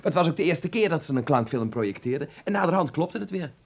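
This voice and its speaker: Radio play: eager, insistent young dreamer, creaky husky voice, lively intonation, likes giving instructions. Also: male voice, fairly high pitch, fast talker. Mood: excited. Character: eager, bossy young film-mad son, stage-struck. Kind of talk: Dutch radio play acting.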